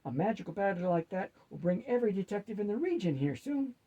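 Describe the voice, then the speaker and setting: A man's voice: high voice, very animated, fast talker, dark tone, muffled recording, voice pushed up, full character voice. A man voicing a sensible, businesslike undead male team leader in a matter-of-fact way for a storybook.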